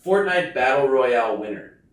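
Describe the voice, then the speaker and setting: A man's voice: medium pitch, animated, normal speed. Male interviewer in an echoey room. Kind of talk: casual interview questions.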